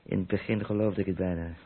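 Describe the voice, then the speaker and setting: Deep, gravelly haulage boss muttering to himself. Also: male voice. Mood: musing. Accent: Netherlands Dutch. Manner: thinking aloud, muttering. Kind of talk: Dutch radio play acting. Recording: muffled, noisy old radio play recording.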